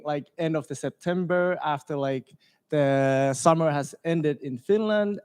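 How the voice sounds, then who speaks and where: A man's voice: on the high side, a little mellow, smooth. A man in his twenties, a student panelist talking casually with "like" and "you know". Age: 20s. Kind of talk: panel discussion.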